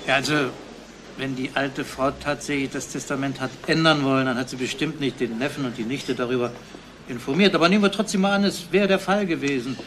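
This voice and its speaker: A man playing a dogged, pondering detective chief in a crime radio play, halting and questioning. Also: mid-range voice, steady tone, a bit bright, a little hiss or hum, close to an everyday voice. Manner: halting, questioning, repeats himself.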